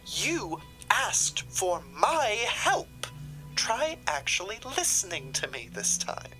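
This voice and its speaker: A woman's voice: medium pitch, very animated, takes it slowly, pushed and bright, faint music behind, heavily acted, low-quality recording. A woman playing a haughty, sardonic, ruthless occult shopkeeper in a theatrical, condescending drama style. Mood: scolding. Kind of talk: dramatic audio roleplay acting.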